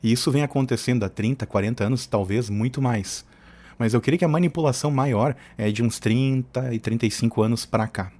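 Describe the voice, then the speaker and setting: A man's voice: mid-range voice, fairly quick, darkish tone. A man giving informal, opinionated political commentary as a channel host, often digressing in colloquial speech. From southern Brazil.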